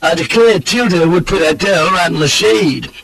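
A man voicing a gallant, flattering rake in a storybook, with an effusive drawl. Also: low-pitched, moderate pace, vocal fry, slightly rough, full character voice, poor audio. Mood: gushing. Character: gallant Southern rake, an oily flattering companion.

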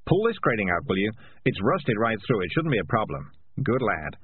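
A man's voice: lowish voice, very animated, normal speed, breathy edge, dry recording, phone-quality sound. A man voicing a cynical, self-serving talking cat who wheedles and justifies himself. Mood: wheedling.

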